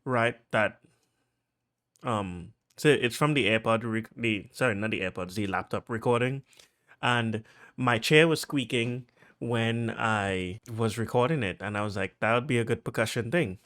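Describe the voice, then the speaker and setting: Man, Trinidadian accent, mid pitch, chatty, filler-heavy, excitable producer tutorial. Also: unhurried. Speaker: music producer.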